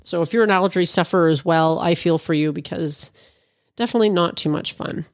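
A middle-aged woman from Canada hosting a casual solo chat, a little stuffy from allergies, rambling warmly with fillers. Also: fairly low pitch, fairly quick, no echo, studio quality. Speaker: podcast host.

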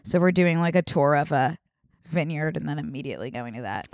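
A young woman in her twenties with a fairly low voice chats on a podcast in an easygoing, rambling way. She fills her sentences with likes and ums and laughs easily. The sound is dry and close. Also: animated, brisk, a little mellow, dry recording. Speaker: podcast co-host. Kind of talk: casual chat.

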